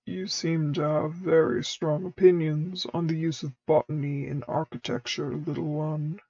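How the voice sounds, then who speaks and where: A woman voicing a grave but gently fond masked dark lord in a fan fiction audiobook, with low, measured, formal speech. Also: level tone, no room sound, highs cut off. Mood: gently teasing.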